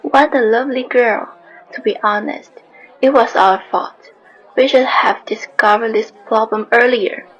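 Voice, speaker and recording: A woman playing a gracious, forgiving queen in an amateur radio drama, with a husky voice. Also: a little high, measured pace, highs cut off.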